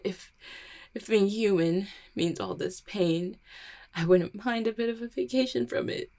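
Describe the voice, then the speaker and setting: A woman's voice: mid-range voice, a little mellow. A woman acting a guarded, heartbroken small-town mail carrier in an audio roleplay, stammering and blaming herself. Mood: sobbing, despairing. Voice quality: husky, creaky. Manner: halting, stammering, self-deprecating. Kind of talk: roleplay audio drama, intimate one-sided conversation.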